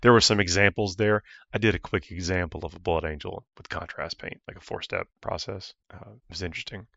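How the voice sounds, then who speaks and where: Male podcast host, deep and hoarse, bright forceful edge, rambling, dry and slightly dull sound. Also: fairly even intonation, brisk, dry recording. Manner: rambling, with many restarts and fillers. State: hoarse, ragged voice. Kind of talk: casual podcast chat.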